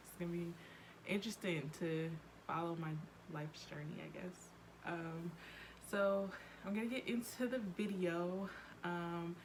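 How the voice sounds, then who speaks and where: Young woman in her twenties, casual vlog chat, lowish voice, faint hum behind. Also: rough recording. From Cleveland, Ohio. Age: 20s. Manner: chatty, lots of ums and likes, quick asides. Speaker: vlogger.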